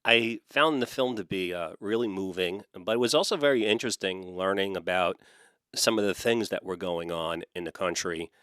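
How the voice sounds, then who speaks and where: A man hosting a film podcast, reading a scripted introduction and then asking measured questions. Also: low-pitched, a bit bright, dry recording, clean studio sound. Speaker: podcast host.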